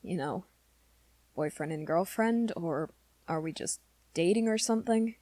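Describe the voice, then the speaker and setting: A woman's voice: lowish voice, warm tone, no echo, lightly acted. A woman voicing an awkward, earnest teenage werewolf boy in an intimate, hesitant ASMR roleplay. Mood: earnest, nudging. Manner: hesitant, halting, rambling confession to listener.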